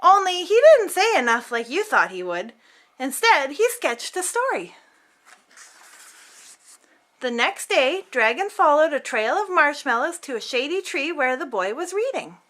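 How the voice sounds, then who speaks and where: Very animated, high-pitched woman reading a storybook. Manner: theatrical, with drawn-out emphatic words. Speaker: host and reader of a children's book channel. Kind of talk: animated read-aloud of a picture book.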